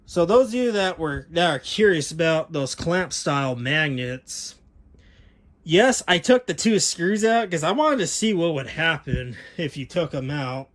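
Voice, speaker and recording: An American man talks in a voice pitched a little higher than most men's. He sounds like a hobbyist vlogger walking viewers through gear without a script. His delivery is folksy and conversational, wandering from one point to the next. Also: expressive, measured pace, a bit bright. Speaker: vlogger showing vintage audio gear. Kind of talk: casual unscripted product walkthrough.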